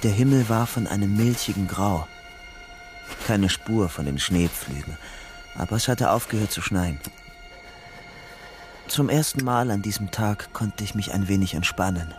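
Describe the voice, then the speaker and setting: A man's voice: fairly low pitch, lively intonation, measured pace, long pauses, over music. An actor playing a guarded, tense young man on the run in a German radio crime drama, understated in delivery. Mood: sombre narration. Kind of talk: German radio crime drama, acting and first-person narration.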